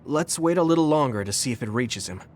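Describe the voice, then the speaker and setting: A man's voice: mid-range voice, lively intonation, fast, slight background noise, natural voice. A man playing an earnest, caring young military recruit in a video game, sincere and supportive. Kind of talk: English video game voice acting.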